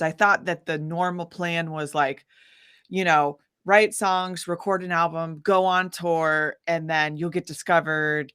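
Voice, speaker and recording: A woman musician telling her story casually in an interview, with an animated, informal manner. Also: fairly low pitch, clean tone, dry recording, clean studio sound. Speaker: guest musician, singer-songwriter.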